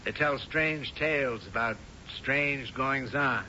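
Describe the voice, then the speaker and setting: An actor playing a polite, hesitant house seller in a radio drama, explaining things carefully. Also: male voice, slow pace, pushed and bright. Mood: uneasy, polite. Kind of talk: 1970s radio drama acting. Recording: dull old radio recording, faint rumble.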